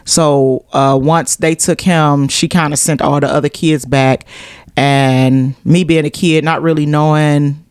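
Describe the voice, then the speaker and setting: A woman's voice: low-pitched, steady tone, measured pace, darkish tone, clean tone, dry recording, studio quality. A middle-aged American woman from Cleveland, chatting casually as an interview guest and telling stories. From Cleveland, USA. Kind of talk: casual conversational storytelling.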